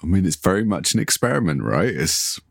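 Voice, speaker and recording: A man's voice: deep voice, big pitch swings, fairly quick, gravelly, breathy edge, no room sound, studio quality. A man co-hosting a podcast, casually discussing an audio drama in a tentative, musing way. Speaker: podcast co-host.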